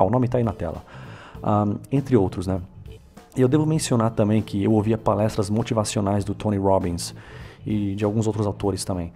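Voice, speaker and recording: A Brazilian man in his thirties talks casually about his own experiences, with a low voice and a soft, mellow tone. He drifts along easily, dropping filler tags and correcting himself as he goes, and faint music plays underneath. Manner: relaxed, with frequent filler tags and self-corrections. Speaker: vlogger. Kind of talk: casual personal narration to camera.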